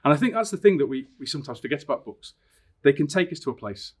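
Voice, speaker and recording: Man with a slightly husky voice. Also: fairly high pitch, very animated, fast, a little mellow. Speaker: science teacher reading a favourite book aloud. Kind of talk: personal introduction and storybook reading.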